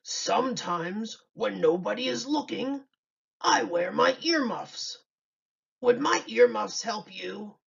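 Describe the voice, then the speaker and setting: A woman voicing a big, gruff but sheepish lion in a children's storybook, with a gravelly roar in the voice. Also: medium pitch, takes it slowly, far from the mic, heavily acted. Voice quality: gravelly, roaring. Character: big gruff lion afraid of loud noises. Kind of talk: children's storybook read-aloud. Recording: dull lo-fi recording.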